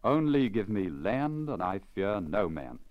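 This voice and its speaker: A man's voice: fairly low pitch, very animated, measured pace, highs cut off. A man reading the part of a boastful, discontented peasant husband in an emphatic storybook manner, on a crackly old disc. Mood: boastful, aggrieved.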